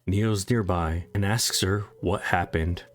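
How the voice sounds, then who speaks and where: A man's voice: low-pitched, fairly even intonation, takes it slowly. A man reading scripted story recap narration.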